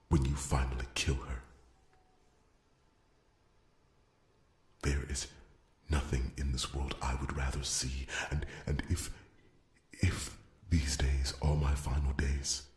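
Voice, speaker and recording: Man acting a very deep-voiced, timid blacksmith. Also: a little mellow, roomy sound. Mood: grave, longing. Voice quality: very deep, often breaking into a breathy whisper. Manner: halting, stammering, formal and deferential. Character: timid, devoted male blacksmith, earnest and easily flustered. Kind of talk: ASMR roleplay, soft-spoken dramatic monologue.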